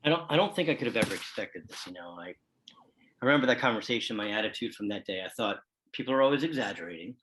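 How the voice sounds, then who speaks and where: A man's voice: fairly low pitch, expressive, fast, a bit bright, rough recording. A man playing a reflective school staffer who second-guesses himself in conversational documentary theater.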